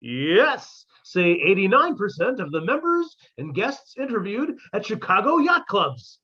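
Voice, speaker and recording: 1950s ad spot: someone as a peppy, husky cigarette-ad announcer. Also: big pitch swings, measured pace. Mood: salesy. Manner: upbeat, emphatic sales pitch. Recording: dull lo-fi audio.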